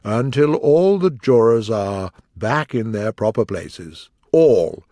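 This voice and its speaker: A man's voice: fairly low pitch, big pitch swings, slow, darkish tone, dry recording, heavily acted. A man voicing a pompous, anxious storybook King presiding as judge, with a grave, emphatic and ponderous manner. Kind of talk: storybook character voice.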